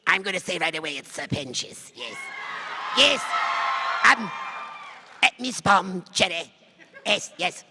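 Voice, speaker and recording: A man's voice: high-pitched, very animated, measured pace, long pauses. A man, a voice actor, giving theatrical comic answers at a live convention panel, repeating his words. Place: large convention panel hall. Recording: very noisy hall with roaring crowd.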